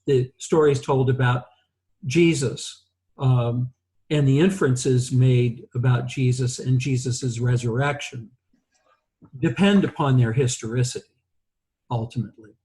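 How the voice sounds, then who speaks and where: A man co-leading a class, explaining informally in careful, halting, measured phrases. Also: mid-range voice, fairly even intonation, warm tone, rough recording. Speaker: priest co-leading a Bible class.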